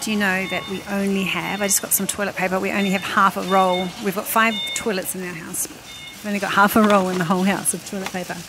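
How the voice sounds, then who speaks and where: A woman talks to her phone camera as she wheels through a big warehouse store. She chats casually and reads out prices to herself along the way. Her voice sits at an everyday middle pitch, with clear store noise behind her. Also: little pitch movement, fast talker. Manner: chatty, reading out prices to herself. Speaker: vlogger. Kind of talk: shop-with-me vlog narration. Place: large warehouse store, indoors. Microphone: handheld phone camera. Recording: noisy warehouse store ambience. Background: store ambience.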